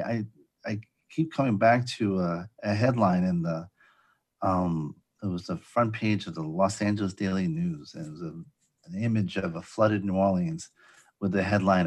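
A man hosting an interview, introducing his guest and asking questions in a halting way, with many ums and restarts. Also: on the low side. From Providence. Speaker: podcast host.

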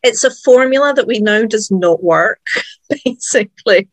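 A woman's voice: fairly high pitch, lively intonation, normal speed, no echo. A woman asking thoughtful questions in a casual interview conversation.

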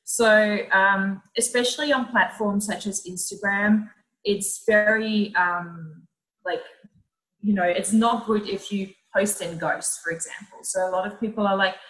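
A woman's voice: mid-range voice, unhurried, fairly bright tone, low-quality recording. A woman, a cheerful podcast guest explaining things casually. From Netherlands. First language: Dutch. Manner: chatty, cheerful. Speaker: podcast guest, social media coach. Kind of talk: casual explanatory interview talk.

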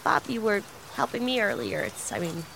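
A woman's voice: mid-range voice, big pitch swings, moderate pace, vocal fry, fairly bright tone, a little hiss or hum, rough recording. A woman playing a gruesomely injured, self-sacrificing crew member in a sci-fi comedy drama, bravely understating her pain. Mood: pained, stoic. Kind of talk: improvised dark comedy sci-fi audio drama.

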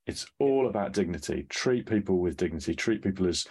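A man's voice: deep voice, lively intonation, brisk, darkish tone, breathy edge. A man hosting an interview, speaking emphatically and repeating phrases for stress. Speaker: podcast host. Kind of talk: interview questions.

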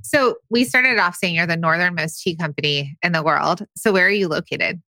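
A woman's voice: lowish voice, moderate pace, pushed and bright, no room sound. A woman from Los Angeles with an American accent, asking questions as co-host of a casual interview.

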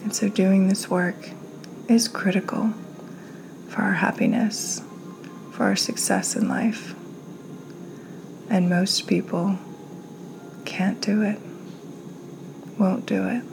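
A woman hosting and guiding a meditation in a calm, gentle, soothing manner, her soft delivery often slipping toward a breathy near-whisper. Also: mid-range voice, takes it slowly, long pauses, noisy background. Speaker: podcast host, meditation guide. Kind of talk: guided meditation, slow and soothing.

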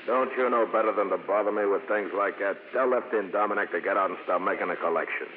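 A man acting a gruff, impatient racketeer boss in a 1950s radio drama, curt and bossy. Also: lowish voice, fast talker, natural voice. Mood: bossy, irritated. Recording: tinny old radio recording, clear noise.

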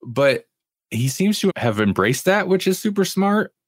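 A man's voice: high-pitched, sing-song, a little slow, dry recording. A man co-hosting a podcast, chatting casually about pop culture. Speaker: podcast co-host.

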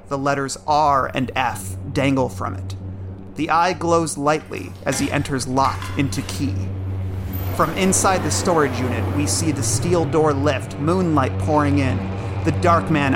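A man narrating a scripted drama, reading out dramatic scene descriptions in the present tense. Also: on the high side. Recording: music bed behind narration.

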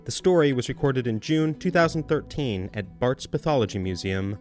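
A man's voice: mid-range voice, animated, normal speed. A man hosting a podcast, reading a friendly, upbeat scripted introduction. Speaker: podcast host. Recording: music bed under voice.

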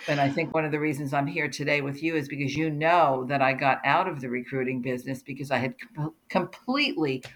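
A woman's voice: deep voice, fairly even intonation, brisk, few pauses. A middle-aged woman from New Jersey whose first language was German, speaking earnestly as a podcast guest, emphatic and given to repeating words as she restarts. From New Jersey, USA. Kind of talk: conversational interview.

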